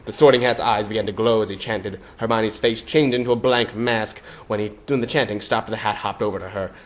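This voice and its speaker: A man's voice: on the low side, steady tone, brisk. A man reading a scripted story as narrator, in a theatrical, mock-serious style. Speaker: narrator of a fan fiction reading.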